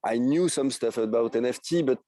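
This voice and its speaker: Podcast guest: French man in his thirties, heavy accent, mellow warm voice, halting and eager, dry close sound. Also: mid-range voice, dry recording. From France. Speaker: guest, NFT project creator. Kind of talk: podcast interview.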